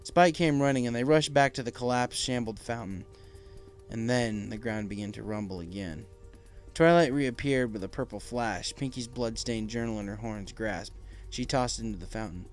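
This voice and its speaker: A man's voice: mid-range voice, moderate pace, faint music behind. A man reading a fan fiction story aloud as narrator, in a scripted, dramatic storytelling style.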